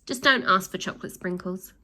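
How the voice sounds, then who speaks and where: A woman narrating a children's book aloud in an animated storytelling style. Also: on the low side, fairly quick.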